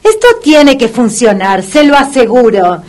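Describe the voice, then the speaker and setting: A confident, theatrical female dog whisperer in a radio comedy. Her voice is very high and animated, and she coaxes in formal, emphatic phrases at an unhurried pace, over faint music. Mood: formal, assured. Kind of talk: comedic radio play acting.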